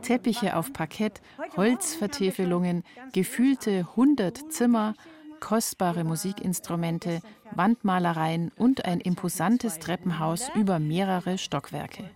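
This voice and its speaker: A woman's voice: lowish voice, a little slow, darkish tone. A woman reporting, reading scripted narration for a radio feature.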